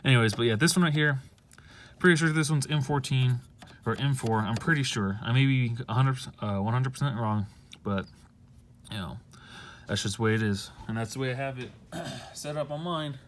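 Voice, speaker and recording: A man's voice: medium pitch, moderate pace. An American man giving a casual, rambling hands-on how-to, repeating himself and apologizing as he goes on a handheld camera. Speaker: DIY vehicle vlogger. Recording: noisy handheld amateur video.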